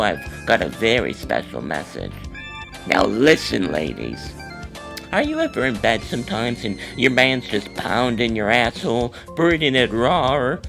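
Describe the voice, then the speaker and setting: Male performer as a crude middle-aged woman caller, gravelly and swoopy, drawls "honey". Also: mid-range voice, exaggerated voice. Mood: drawling. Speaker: prank caller. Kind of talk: prank call comedy.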